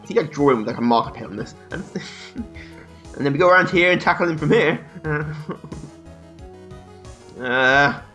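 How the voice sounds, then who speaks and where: A young man joking his way through a game, crude and laughing often. His voice sits a little high. Faint game music plays under him. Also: normal speed, long pauses, bright, forceful tone. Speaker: let's play host. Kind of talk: joking game commentary and reading dialogue aloud.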